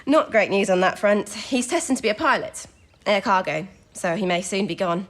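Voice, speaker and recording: An actress playing a young wartime codebreaker in an audio drama, earnest, modest and a little anxious. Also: female voice, medium pitch, moderate pace, lightly acted. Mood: modest, thoughtful. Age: young adult.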